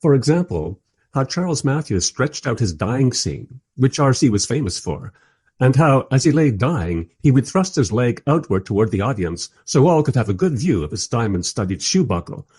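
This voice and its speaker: Wry narrator, husky mid-pitched voice, lively intonation, radio drama storytelling. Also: male voice, moderate pace. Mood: wry, narrating. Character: bemused, wry filmmaker narrating his visit. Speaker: narrator and interviewer.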